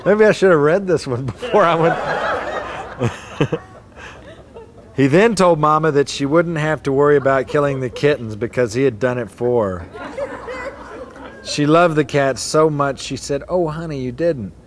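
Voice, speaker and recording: A man's voice: a little high, a little slow, pauses often, a crowd in the background. Man reading family stories aloud. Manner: reads plainly, breaks off to chuckle and comment. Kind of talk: casual reading aloud to relatives. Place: hotel atrium at a family reunion.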